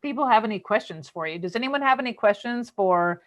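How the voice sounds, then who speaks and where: A woman's voice: fairly high pitch, animated, fairly quick, fairly bright tone. A woman with an American accent hosting a casual live online chat, teasing and playful as she interviews. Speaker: host of a live online show. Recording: dull, lo-fi online call audio.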